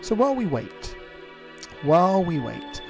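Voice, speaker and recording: A man hosting a casual live demonstration, explaining things in a measured way. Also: high voice, sing-song, creaky. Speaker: livestream host. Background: music.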